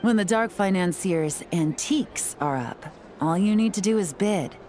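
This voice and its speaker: A woman voicing a calm, self-assured female spy and tea house owner in a video game, speaking in a measured way. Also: medium pitch, close to an everyday voice. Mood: composed. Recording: game audio with faint music.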